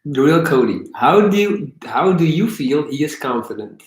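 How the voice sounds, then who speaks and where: A man's voice: a little high, sing-song, normal speed, echoey room. A man hosting a live question-and-answer stream, joking and teasing while he reads out viewer questions. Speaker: YouTube host.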